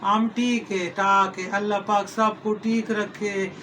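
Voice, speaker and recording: A man's voice: high voice, flat delivery, slow, noisy background. A middle-aged man, hosting a home cooking video in a folksy, rambling way, full of homely advice and blessings. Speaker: cooking channel host. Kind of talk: casual home cooking vlog.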